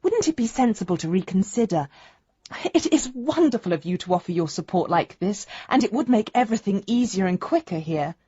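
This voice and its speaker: A woman's voice: medium pitch, expressive, a little fast, husky, dry recording, dull audio. An actress playing a concerned, affectionate grown daughter in a radio drama, chattily reading out a letter to her father. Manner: chatty, gently questioning.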